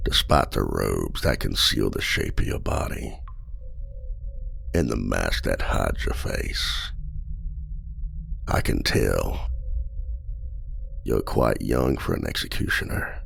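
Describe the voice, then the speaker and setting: A smug, flirtatious outlaw trickster locked in a cell, as a man voices him. His voice sits very deep and gravelly, and it rises and falls a lot. He talks slowly and silkily, teasing his listener, with a faint hiss of noise behind him. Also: animated, a bit bright, exaggerated voice. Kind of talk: ASMR roleplay audio.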